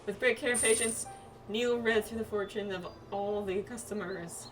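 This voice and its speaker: A woman's voice: mid-range voice, fairly even intonation, moderate pace, poor audio. A woman chatting casually through a game playthrough, reading the on-screen text aloud and stumbling now and then. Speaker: game streamer doing a playthrough. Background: game music.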